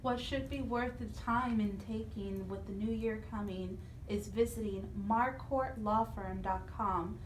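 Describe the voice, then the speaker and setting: A woman co-hosting a radio talk, speaking in a conversational announcing style. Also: a little high. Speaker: radio co-host. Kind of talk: conversational radio plugs and wrap-up. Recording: roomy, slightly distant, faint noise.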